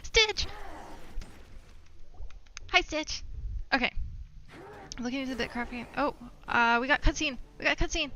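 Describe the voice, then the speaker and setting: A woman's voice: sits high, measured pace, pauses often, sharp tone. A woman doing casual live game commentary as a streamer, chatty and exclamatory, often talking to herself and to the game.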